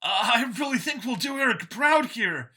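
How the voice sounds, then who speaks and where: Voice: mid-range voice, expressive, a little slow, pushed and bright, husky, voice pushed up, exaggerated voice. A narrator voicing a smug, bigoted android copy of a man in an audiobook, with an eager, boastful, emphatic and sneering delivery. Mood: earnest, proud.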